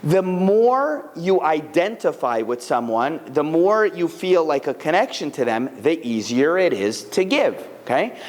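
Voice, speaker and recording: A man's voice: on the high side, unhurried, hardly any pauses, big-room sound. A man talking casually and animatedly to a live audience, answering questions. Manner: chatty, animated, full of fillers.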